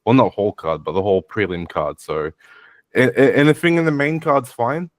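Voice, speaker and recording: A man's voice: mid-range voice, normal speed, no room sound, studio quality. A man co-hosting a sports podcast in a blunt, crude, sarcastic ranting style. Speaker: podcast co-host. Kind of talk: casual ranting chat.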